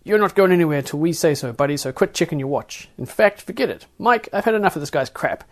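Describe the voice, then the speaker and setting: A man's voice: on the high side, lively intonation, fast talker, voice pushed up. A man doing a bullying female airport guard. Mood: aggressive taunting. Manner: shouty, sneering, interrogating. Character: stocky gruff female airport security officer, bullying.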